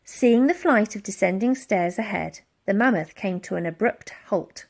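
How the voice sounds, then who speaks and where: A woman reading a children's storybook aloud as an expressive, dramatic narrator who acts out the sound words. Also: medium pitch, moderate pace, vocal fry. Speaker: audiobook reader.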